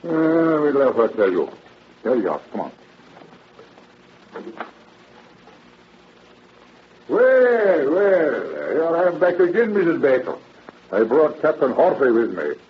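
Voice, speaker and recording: A man's voice: a little high, big pitch swings, moderate pace, highs cut off, slight background noise. An actor playing an older, talkative and obliging night watchman in a folksy, hesitant drawl, in old-time radio drama style. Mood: confiding.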